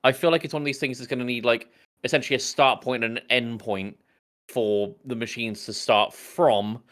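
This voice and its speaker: A man's voice: medium pitch, steady tone, moderate pace, a bit bright. A man co-hosting a podcast in casual, playful, joking conversation. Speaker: podcast co-host. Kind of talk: casual comedic chat.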